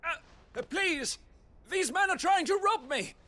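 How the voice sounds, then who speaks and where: A man's voice: extremely high pitch, expressive, measured pace, bright, forceful tone, a little hiss or hum, heavily acted. An actor playing a polite male servant and agent in a fantasy video game, speaking in a courteous, formal manner. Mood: pleading. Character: polite, well-dressed male servant agent, composed scout.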